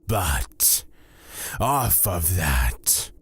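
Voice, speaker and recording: An actor playing a calm, menacing god of fear, speaking deliberately and formally in a husky, breathy voice in a fantasy audio drama. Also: male voice, low voice, full character voice. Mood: calm, ominous. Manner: deliberate, formal, drawn-out phrasing.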